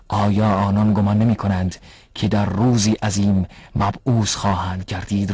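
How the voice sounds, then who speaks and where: A man doing a pious cartoon man scolding cheats, low husky voice, dry close sound, earnest and reproachful. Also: a little mellow, dry recording. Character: pious, stern man exposing cheating traders. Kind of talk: dubbed cartoon acting.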